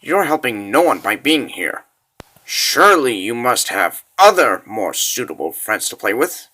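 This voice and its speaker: A man's voice: mid-range voice, sing-song, a little slow, bright, forceful tone, full character voice. A man voicing a flustered, condescending builder in a comic dub, fussy and a little stammering. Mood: nervous, dismissive. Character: flustered, condescending builder, pleading then dismissive.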